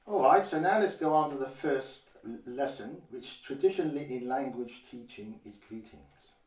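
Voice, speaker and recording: An older man from Guernsey teaches greetings patiently, pausing to say each phrase slowly and clearly. His voice is mid-pitched and sits back from the mic. A roomy echo surrounds him. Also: expressive, recorded from a distance. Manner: measured, careful, slows down to model phrases. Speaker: language teacher. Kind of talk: instructional explanation.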